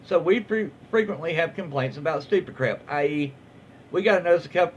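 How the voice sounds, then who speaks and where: A man with a Southern American accent casually reading online stories aloud, folksy and informal, stumbling over words and adding off-the-cuff commentary. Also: on the high side. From southern United States. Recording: muffled lo-fi audio, faint background noise.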